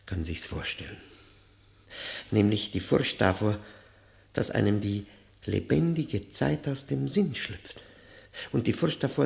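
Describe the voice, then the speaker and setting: An actor playing an embittered, tormented lifer in a 1960s German radio play, speaking in brooding, dramatic monologue with halting pauses. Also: male voice, on the low side, vocal fry, a little mellow. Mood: bitter, haunted. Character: embittered, tormented male prisoner serving life.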